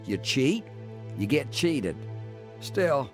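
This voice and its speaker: A man's voice: medium pitch, sing-song, drawn-out words, music in the background. An actor playing a tough career criminal telling his life story in a folksy, plain-spoken way for a radio drama. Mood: reflective, rueful. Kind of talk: radio drama acting and first-person narration.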